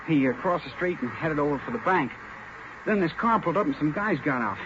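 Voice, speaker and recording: An earnest young navy man, played by an actor, talks fast and politely with small hesitations. The voice sits a bit high, on a muffled, noisy 1940s radio drama recording. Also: expressive, natural voice. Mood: earnest, shaken. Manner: hesitant yet eager, polite. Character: earnest young navy sailor, nervous eyewitness.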